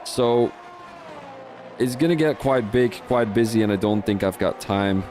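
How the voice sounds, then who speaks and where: A man's voice: on the low side, unhurried, a little mellow. A young Dutch man with a Dutch accent, commentating a sim racing league in a chatty, rambling way with many restarts. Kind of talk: live race commentary mixed with casual chat.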